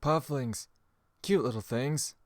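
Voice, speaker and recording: A man's voice: medium pitch, slow pace, dry recording, clean studio sound, natural voice. A man playing a frank, easygoing guild medic in a fantasy game audiobook. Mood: mild concern.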